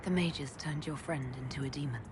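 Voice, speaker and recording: An actress playing a composed elven mage leader in a video game, direct and supportive. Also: female voice, low-pitched, a little fast, noisy background, close to an everyday voice. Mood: businesslike.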